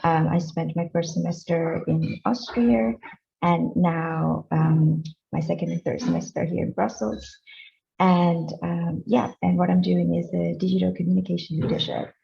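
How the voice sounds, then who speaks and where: A woman's voice: lowish voice, fairly even intonation, a little slow, creaky, mellow. A young woman introducing herself as a student on an online panel, speaking hesitantly in short phrases. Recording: dull lo-fi call audio.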